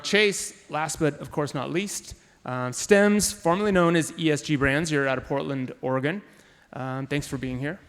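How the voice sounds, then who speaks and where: Male panel moderator, upbeat, fairly high, hall reverb. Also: a bit bright, smooth. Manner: upbeat, enthusiastic.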